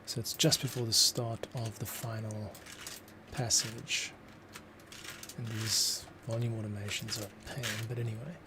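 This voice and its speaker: A man's voice: fairly low pitch, little pitch movement, unhurried, noisy background. A man giving casual work-along commentary as a composer, muttering to himself in fragments. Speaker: composer, host. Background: music, computer keyboard clicks.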